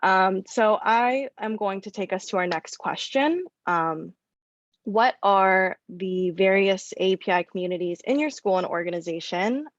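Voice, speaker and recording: A woman's voice: medium pitch, unhurried, no echo. A woman moderates a panel in a friendly, conversational way. Her audio is dry and a little dull and lo-fi, with no room sound.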